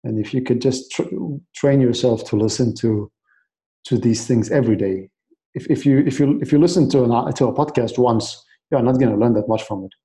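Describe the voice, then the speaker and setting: A man's voice: medium pitch, brisk, dark tone. A man in his late twenties, originally from Iraq, talking earnestly in an interview and often restarting his words. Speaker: guest. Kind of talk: casual interview.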